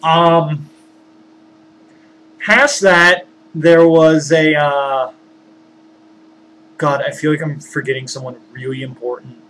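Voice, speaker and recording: A man telling a story casually, rambling and halting as he searches for words and digresses. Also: fairly high pitch, fairly even intonation, fairly bright tone, slight background noise, low-quality recording. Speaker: storyteller, former tabletop game master.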